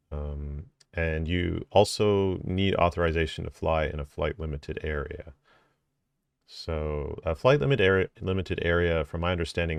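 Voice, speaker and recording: Very deep gravelly man, dry sound. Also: dry recording. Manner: thinking aloud, many ums and self-corrections. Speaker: drone hobbyist explaining rules. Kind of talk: casual explainer video.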